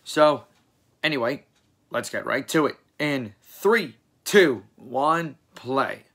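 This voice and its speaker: A hoarse young man hosting a reaction video, rambling and correcting himself as he talks in a small room. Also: medium pitch, lively intonation, slow pace, a bit bright. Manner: rambling, self-correcting, chatty. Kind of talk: casual reaction commentary.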